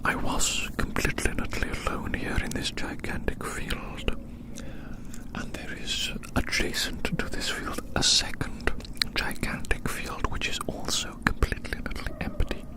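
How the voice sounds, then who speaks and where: An ASMR performer whispering a rambling, theatrical roleplay monologue outdoors, softly repeating phrases in wordy language. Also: moderate pace. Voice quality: soft unvoiced whisper. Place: outdoors in a large open field.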